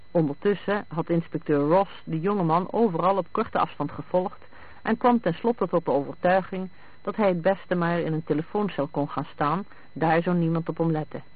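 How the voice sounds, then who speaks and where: A man's voice: fairly high pitch, no echo. A man reading a novel aloud as scripted narration. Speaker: audiobook narrator. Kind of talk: scripted narration of a detective novel with acted dialogue. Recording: thin, phone-like band-limited radio sound.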